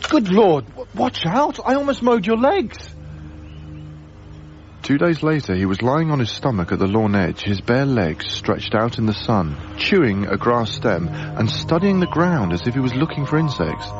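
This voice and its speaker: Mild, animated young male lodger. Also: mid-range voice, normal speed, dull audio, music in the background. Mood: friendly, curious. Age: young adult. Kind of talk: naturalistic radio drama acting and first-person narration.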